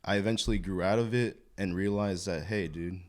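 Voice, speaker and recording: A man's voice: low-pitched, fairly even intonation, brisk, a little mellow. A man in his thirties chatting casually on a podcast, candid, slangy and freely swearing. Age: 30s. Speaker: podcast co-host.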